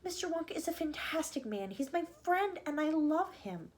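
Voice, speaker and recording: A woman voicing an earnest, timid young boy in a storybook, soft and pleading. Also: high voice, animated, normal speed, voice pushed up.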